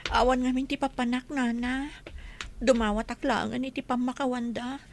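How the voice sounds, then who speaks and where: An actress playing a meek, conciliatory young daughter in a radio drama. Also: female voice, a little high, fairly even intonation, moderate pace, slight background noise, natural voice.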